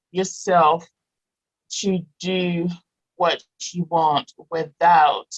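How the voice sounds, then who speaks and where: A woman's voice: on the low side, rough recording. A woman in her thirties, raised in Morocco with French as her first language, chatting as a guest, laughing often and restarting sentences. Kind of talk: casual interview chat.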